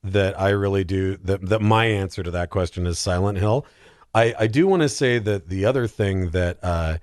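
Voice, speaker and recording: A man in casual, comedic podcast chat, telling things in an animated, halting way with plenty of filler likes. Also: sits low, no room sound, studio quality. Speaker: podcast panellist.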